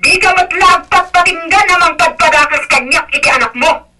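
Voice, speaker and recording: A woman playing a resentful, sharp-tongued daughter-in-law in a radio drama, combative, over a noisy recording. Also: extremely high pitch, normal speed. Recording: loud noise with clicks.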